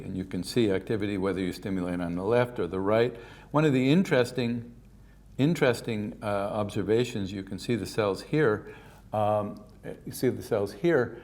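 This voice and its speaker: An older man from Canada giving a keynote science lecture, lively and informal, with offhand asides. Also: on the low side. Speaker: keynote speaker.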